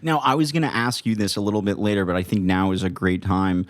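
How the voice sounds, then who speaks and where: Male host in his 30s, lowish voice, asks interview questions up close on a studio mic. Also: fairly even intonation, quick pace. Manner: leads with "Now," before asking a question. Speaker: podcast host. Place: small studio room. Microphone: studio mic, headphones.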